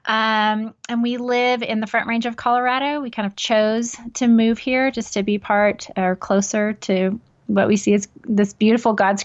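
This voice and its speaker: A woman in her late forties, a podcast guest, talking earnestly and reflectively with many pauses and ums. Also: medium pitch, highs cut off. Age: 40s. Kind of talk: casual podcast interview.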